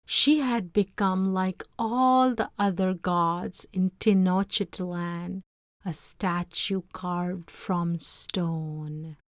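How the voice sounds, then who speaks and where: Woman storyteller, slow pace, medium pitch, dramatic measured narration, very dry recording. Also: steady tone, no echo. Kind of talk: expressive scripted storybook narration.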